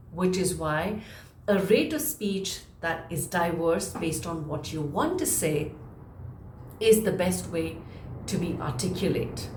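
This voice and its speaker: A woman presenting a spoken lesson to viewers as a communication coach, emphatic and deliberately varying her speed to stress points. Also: fairly low pitch, background noise. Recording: roomy, echoing room sound.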